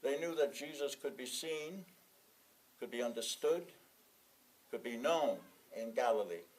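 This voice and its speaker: A man reading a sermon from a prepared text in a measured, deliberate way, leaning on key phrases and pausing between them. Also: mid-range voice, animated, slight background noise, low-quality recording. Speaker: sermon reader in a church congregation.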